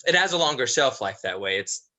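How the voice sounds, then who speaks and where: A nervous, apologetic killer from a horror stage play, acted by a man. His voice is bright and tense, leaping up and down in pitch as he rambles haltingly like an awkward eldest brother. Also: very animated. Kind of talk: dramatized horror play reading.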